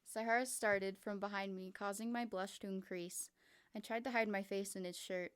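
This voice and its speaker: A woman reading a first-person fan fiction story aloud, in a quick, lively narration. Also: mid-range voice, clean tone.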